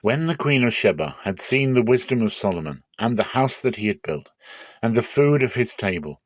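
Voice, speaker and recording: A man narrating a dramatic Bible reading in a measured, solemn, clearly phrased manner. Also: medium pitch, big pitch swings, no room sound.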